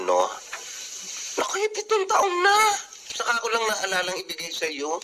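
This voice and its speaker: A man's voice: on the high side, noisy background. A man playing a joking, teasing old friend. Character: joking male friend who owns a building.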